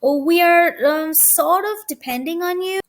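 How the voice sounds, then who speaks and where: A woman's voice: very high-pitched, unhurried, pitched above the performer's own voice. A woman voicing a small young male mouse in a children's storybook, chatty and curious. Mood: hesitant. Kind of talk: children's audiobook character reading.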